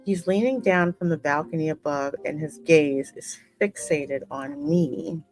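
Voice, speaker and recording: A woman hosting a game playthrough, reading the story aloud as the player character in an expressive, measured, dramatic way. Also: low-pitched, faint music behind, low-quality recording. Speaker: gaming host voicing the player character. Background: musical game soundtrack.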